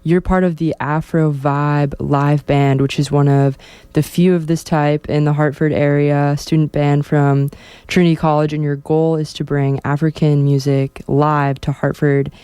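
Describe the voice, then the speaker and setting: A young adult woman hosting a college radio show, introducing guests in a relaxed, slightly halting way. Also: deep voice, smooth, no echo.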